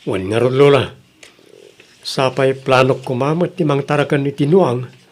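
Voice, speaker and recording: A man playing a humble, kindly grandson in a radio drama, with an earnest and gentle delivery. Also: medium pitch, normal speed, lightly acted. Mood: reassuring. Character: humble, kindly grandson, patient under suspicion. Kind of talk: Ilocano radio drama acting.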